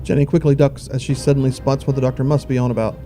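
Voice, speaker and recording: A man's voice: medium pitch, little pitch movement, fast, mellow, soft background music. A man reading scripted narration for an audio drama in the role of narrator.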